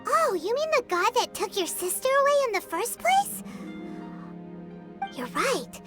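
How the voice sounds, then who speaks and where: A woman voicing a tiny, bubbly, childlike fairy companion with a squeaky, perky delivery in a video game. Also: very animated, a little slow, bright, forceful tone, heavily acted. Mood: thoughtful. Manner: perky, speaks of self in third person. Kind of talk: video game character voice acting. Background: tinkling game music.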